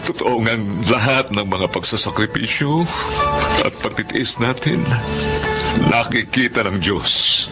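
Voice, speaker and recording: An actor with a Filipino accent playing a humble, devout, grateful family driver in a radio drama, halting and deferential. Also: male voice, on the low side, natural voice. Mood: tearful, grateful. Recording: studio drama with loud music behind.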